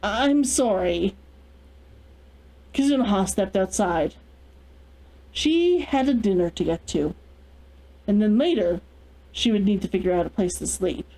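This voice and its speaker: A woman hosting a read-along, giving an expressive narration of a story. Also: medium pitch, moderate pace, pauses often, poor audio.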